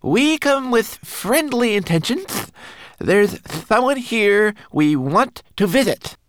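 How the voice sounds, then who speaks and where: A man's voice: very animated, slow, fairly bright tone, dry recording, heavily acted. A man voicing a tiny, fussy male homunculus servant who is proud and learned, with precise, formal speech. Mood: grandly polite. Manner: high, precise, eager and formal.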